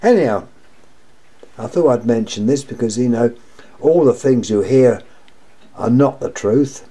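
An older man with a sore, croaky throat rambles through a casual show-and-tell of his lamps, doubling back on his own words. His voice is dark and mellow. He talks at home, into a camera mic, while he stays off screen. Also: mid-range voice, unhurried. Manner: rambling, repeats himself, wry complaints. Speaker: lamp collector vlogger. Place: indoors at home, table of lamps.

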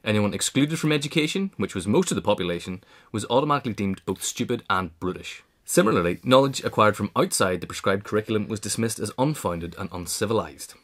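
A man reads a prepared biographical essay as narrator. His voice is on the low side, and he moves through the text at a quick pace. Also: animated, fairly bright tone. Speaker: narrator, reader. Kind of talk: scripted biographical narration, read aloud.

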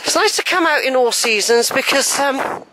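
A woman's voice: sits high, lively intonation, normal speed, bright, forceful tone, no room sound, low-quality recording. Chatty wheezy woman on a woodland walk. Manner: chatty, rambling, thinking aloud. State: a bit wheezy. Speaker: walking vlogger. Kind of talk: casual walking commentary. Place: wooded track outdoors. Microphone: handheld camera while walking.

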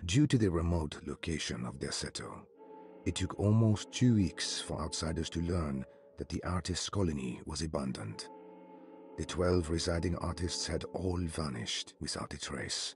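An actor voicing a solemn male narrator who reads an old archive document in a measured way. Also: a bass voice, expressive, faint music behind. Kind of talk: scripted game narration.

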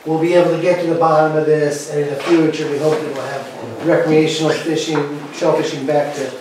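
A man's voice: fairly high pitch, level tone, brisk, very echoey, noisy background. A man standing in a small meeting room, reading a report to a town board with fillers and restarts, recorded by a far camera. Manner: reads updates from paper, with fillers. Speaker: state representative briefing a town board.

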